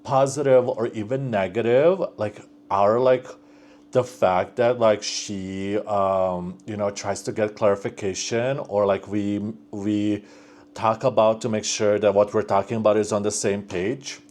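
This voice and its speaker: A man's voice: lowish voice, fairly even intonation, measured pace, darkish tone. A young man chatting casually on his vlog, rambling with lots of fillers and restarts. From Bosnia and Slovenia region, raised in North America from thirteen. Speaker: vlogger. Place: at home, working on a build project.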